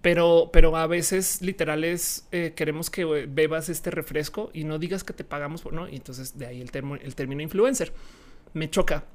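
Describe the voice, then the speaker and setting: A woman's voice: low voice, moderate pace, darkish tone. A young woman from Colombia, a YouTuber guest, speaking frankly in a casual interview and slipping into acted quotes.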